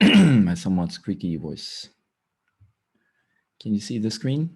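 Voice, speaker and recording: A man with a slightly raspy voice giving a tech talk over a video call, hesitant with many fillers and restarts and frequent throat clearing. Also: fairly low pitch, very animated, slow pace. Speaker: guest speaker.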